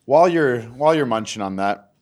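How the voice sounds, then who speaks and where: A man co-hosting a podcast, teasing and playful as he asks quick questions. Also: medium pitch, expressive, darkish tone. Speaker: podcast co-host. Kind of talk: casual podcast chat.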